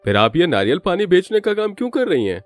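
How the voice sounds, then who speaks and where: Story role: inquisitive polite reporter played by a man, raised pitch, very animated. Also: fast, dry recording, faint music behind. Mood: curious, polite. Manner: polite, formal questioning. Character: inquisitive, courteous male news reporter.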